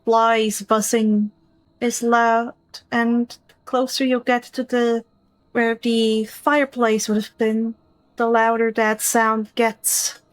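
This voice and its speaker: A woman acting as game master, narrating a tabletop role-playing game in a descriptive, deliberate way. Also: a little high, little pitch movement, no echo, rough recording.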